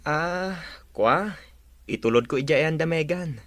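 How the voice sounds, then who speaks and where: A man's voice: on the high side, animated, a little slow, a bit bright, no echo. A man playing a sheepish, evasive older brother and unfaithful father in an audio drama, hesitant and subdued. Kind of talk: Ilocano audio drama acting.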